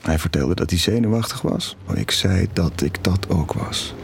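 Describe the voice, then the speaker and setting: A conflicted middle-aged father in an audio drama. His voice sits low and he speaks in a confiding, hesitant, reflective way. Faint music plays behind him. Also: lively intonation, moderate pace, vocal fry. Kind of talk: intimate naturalistic audio drama dialogue.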